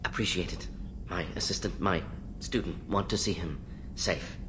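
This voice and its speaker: An actor playing an intense alien scientist in a video game, speaking in brisk, clipped, analytical fragments. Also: male voice, lightly acted. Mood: subdued, concerned. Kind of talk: video game character acting. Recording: clear low rumbling background noise.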